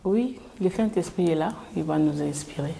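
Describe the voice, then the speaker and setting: A woman from Kinshasa with a Congolese French accent, a gospel singer answering interview questions hesitantly, with many pauses. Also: low voice, gravelly, warm tone, a little hiss or hum.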